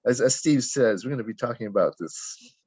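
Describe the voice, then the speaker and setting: A man's voice: mid-range voice, lively intonation, brisk, a little mellow, husky, no echo. An older man, Tagalog his first language but raised in America, talking reflectively in a casual group discussion and often restarting as he searches for words. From Philippines, raised in the United States. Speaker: reading group participant. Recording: dull lo-fi dry sound.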